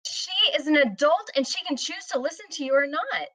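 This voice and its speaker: A woman's voice: high voice, fairly quick, fairly bright tone, dry recording. A woman playing a protective, teasing aunt at a screenplay table read. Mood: defensive. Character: teasing, protective aunt who raised her niece. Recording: slightly dull, lo-fi microphone sound.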